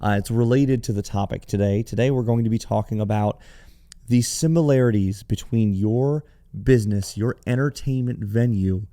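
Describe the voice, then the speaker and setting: Hoarse, gravelly man hosting a podcast. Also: lowish voice, moderate pace, dark tone. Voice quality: gravelly, raspy. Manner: conversational, explanatory, with some restarts. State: hoarse from cheering. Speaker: podcast host. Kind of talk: solo business podcast talk.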